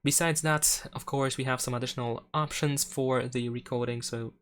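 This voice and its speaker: A man's voice: mid-range voice, fairly even intonation, moderate pace, fairly bright tone. A man presenting a step-by-step phone tutorial in an instructional, explanatory way.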